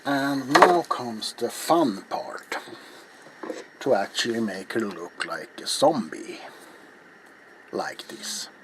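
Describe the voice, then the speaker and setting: A middle-aged man speaking softly in ASMR style as he demonstrates a craft, halting and often slipping into a whisper. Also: mid-range voice, very animated, a little hiss or hum, low-quality recording. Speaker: ASMR video maker and host. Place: small cluttered indoor workshop.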